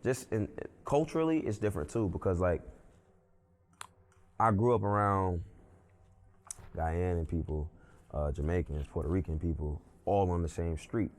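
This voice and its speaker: Chill young guy from brooklyn, real low voice, lots of "like" and "you know what i'm saying". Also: normal speed, pauses often, darkish tone. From Brooklyn, New York. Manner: laid-back, filler-heavy, often adds "you know what I'm saying". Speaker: interview guest, musician. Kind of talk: casual conversation.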